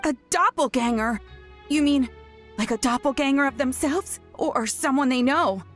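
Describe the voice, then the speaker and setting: A woman acts a nervous young villager in a video game. Her voice is high and uneasy, stumbling over words as she doubts what she hears. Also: normal speed, faint music behind, lightly acted. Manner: stammering, uneasy. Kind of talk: video game character dialogue.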